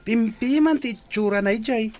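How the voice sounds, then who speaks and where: Man playing a cocky high-pitched young schoolmate. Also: normal speed, a little mellow, soft background music. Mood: teasing. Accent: Ilocano. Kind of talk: Ilocano radio comedy drama acting.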